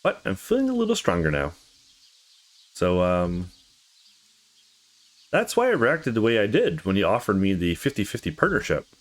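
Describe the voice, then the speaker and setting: A troubled young man from a visual novel, read aloud by a male player, opens up about heartbreak in a halting, confessional way. His voice sits fairly low, with very wide swings in intonation. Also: huge pitch swings. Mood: heavy, vulnerable.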